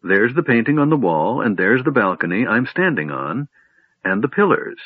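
A man voicing an excitable, curious, childlike male kender in a storybook reading, talking in eager bursts. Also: on the low side, normal speed, breathy edge, dry recording. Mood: wondering. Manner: breathless, eager exclamations. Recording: dry tinny phone-like audio.

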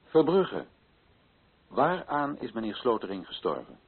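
An actor playing an insistent, authoritative colonial official in a radio play, questioning in a formal, commanding way. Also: male voice, mid-range voice, very animated, measured pace, husky. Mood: urgent questioning. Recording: thin, phone-like old radio recording.

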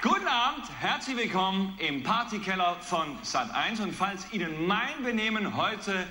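High, flamboyant TV show host. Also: male voice, normal speed, heavily acted. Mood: exalted. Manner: flamboyant, over-excited greeting patter. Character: exalted, showy male television show host. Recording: echoey, faint noise, sharp.

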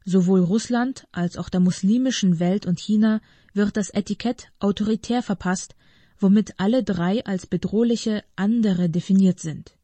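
A woman reading scripted political commentary aloud in a formal, measured manner. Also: medium pitch, mellow, no echo.

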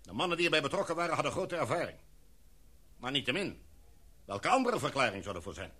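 A commanding expedition chief, played by a man, holds the floor of a meeting in a radio drama. His voice is bright and forceful, a little high for a man, and his tone stays formal and decisive. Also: sing-song, fast, breathy edge, full character voice. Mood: measured. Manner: formal, speech-like address to a meeting. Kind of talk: radio play acting.

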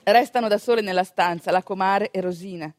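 An actress playing an animated village storyteller in a theatrical monologue, talking to the audience conversationally with dramatic pauses. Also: female voice, mid-range voice, close to an everyday voice. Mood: quiet, suspenseful. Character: animated female village storyteller recounting a home birth.

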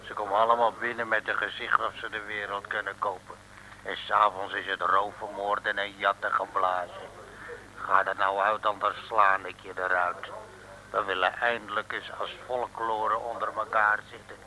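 A big French bruiser in a vintage radio serial. His fairly low voice is very sharp and forceful, blustering and pompously florid. The old recording carries a faint hiss. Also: little pitch movement, moderate pace, low-quality recording. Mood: belligerent. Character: big gruff French strongman, rough brawler turned fawning admirer. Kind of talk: early-1960s Dutch radio serial acting.

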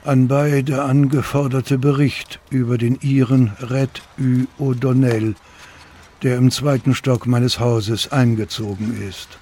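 A man acting as a fussy Parisian landlord delivers his informant's report in a radio play. He speaks slowly at a medium pitch, with stilted, prim formality, over faint background noise. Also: flat delivery, mellow, close to an everyday voice. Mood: dutiful, formal. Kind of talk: radio play monologue, formal written report read aloud.

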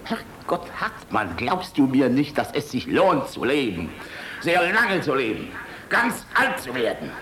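An older man playing a gruff, fatherly, world-weary army general in a German radio play, blustery and philosophizing, shifting between barking and tenderness. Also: medium pitch, huge pitch swings, a little slow, fairly bright tone, a little hiss or hum. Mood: impassioned, philosophical.

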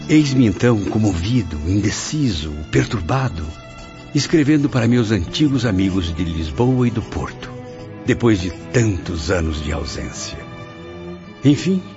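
An actor playing a sincere, emotional spirit of a Portuguese man in a radio drama, with formal, theatrical diction. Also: on the low side. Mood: moved, troubled. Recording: dull radio recording with music behind.

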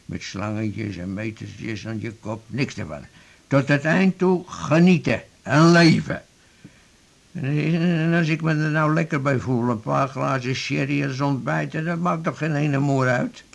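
A gravelly old smoker. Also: male voice, medium pitch, unhurried. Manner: gruff, defiant, familiar. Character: older male patient, heavy smoker, stubborn and jovial. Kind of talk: 1980s radio drama.

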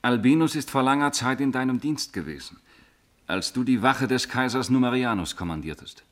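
An actor playing a young Roman officer, formal and restrained, respectful yet quietly probing, in 1950s radio drama. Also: male voice, medium pitch, lively intonation, moderate pace, natural voice.

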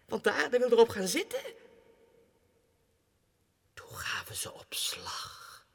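An actor playing a deranged clerk who believes he is a king, in an erratic radio drama monologue that swings between breathy hushed confiding and agitated outbursts. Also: mid-range voice, full character voice. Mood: conspiratorial, regal. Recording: reverberant 1960s radio studio recording.

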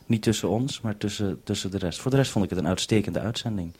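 A man presenting over a two-way radio link, talking in a measured, procedural way. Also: fairly low pitch, a little mellow, slight background noise.

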